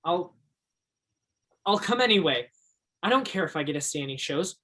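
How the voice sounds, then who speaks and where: An actor in a stage play as an earnest, romantic teenage high school boy with a theatrical way of talking. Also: lively intonation, moderate pace, sharp tone. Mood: frustrated, defiant.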